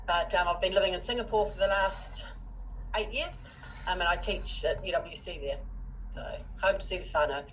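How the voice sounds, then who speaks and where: A woman introduces herself plainly and briefly. Her voice is somewhat low and sounds far from the microphone. A faint noise sits underneath. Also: normal speed, a bit bright. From New Zealand. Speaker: teacher. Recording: distant mic.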